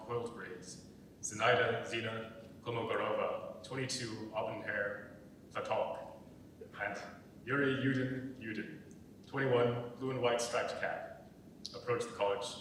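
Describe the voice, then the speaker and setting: A man reading scripted narration and stage directions for a dramatic reading. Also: fairly bright tone. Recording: echoey hall, distant mic, faint rumble.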